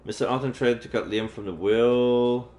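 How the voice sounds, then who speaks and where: A man's voice: medium pitch, steady tone, moderate pace, a little mellow. A man streaming a game and reading its visual novel text aloud. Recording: echoey room with faint game music. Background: faint game music.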